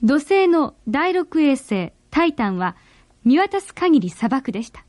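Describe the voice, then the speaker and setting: A woman narrating a radio drama in a deliberate, expressive storytelling manner, as in a 1970s broadcast. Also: sits high, no echo, studio quality.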